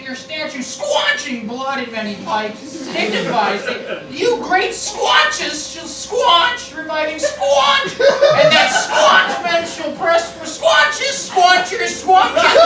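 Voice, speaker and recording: A woman voicing a small, excitable cartoon creature with a theatrical delivery in an amateur parody radio play. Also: very high-pitched, exaggerated voice. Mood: grandiose. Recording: roomy, distant mic, loud background noise.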